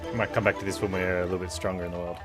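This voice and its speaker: Wry man streaming over loud music. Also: sits low, flat delivery, very fast. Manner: wry, joking asides. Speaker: game streamer. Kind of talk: casual gameplay commentary. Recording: loud game music behind. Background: game music and sound effects.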